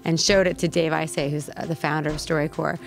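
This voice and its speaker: A woman gives an interview about her work in a low register. Her voice has a noticeable fry, and soft music plays faintly behind her. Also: little pitch movement, fast. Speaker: organization spokesperson interviewee. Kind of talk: interview explanation.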